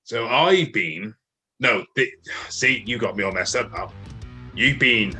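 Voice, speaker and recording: A man's voice: lowish voice, a little slow, pushed and bright, husky, faint music behind. A man hosting a casual interview podcast, dry and exasperated with his guest. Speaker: podcast host.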